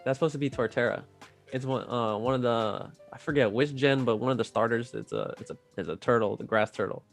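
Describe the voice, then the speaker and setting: Man, casual chat, mid pitch, heavy slang and free cursing, music underneath. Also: brisk. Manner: slangy, swearing freely. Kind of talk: casual chat about video game movies. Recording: music playing behind the voice.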